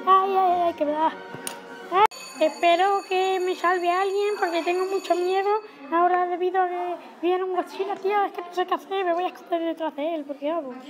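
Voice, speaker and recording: A child of about ten playing a frightened cameraman in a homemade cartoon, excitable and repetitive, with exclamations. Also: very high voice. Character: frightened on-the-scene cameraman fleeing giant monsters. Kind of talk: improvised children's cartoon voice-over. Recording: loud music over the voice.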